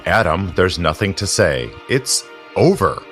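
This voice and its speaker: A scheming society insider with a low voice that swings expressively. He is cynical, biting and condescending, in a theatrical audio drama style with music underneath. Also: very animated, a little slow, a bit bright, exaggerated voice. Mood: exasperated. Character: scheming, cynical male society conspirator, world-weary and superior.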